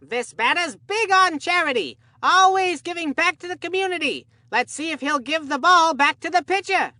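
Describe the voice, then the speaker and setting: An actor voicing an excitable, absent-minded kids' baseball game commentator who rambles in an earnest, folksy way. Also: extremely high pitch, normal speed, no room sound, studio quality, heavily acted. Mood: upbeat, folksy. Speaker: sports commentator. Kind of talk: cartoon video game sports commentary.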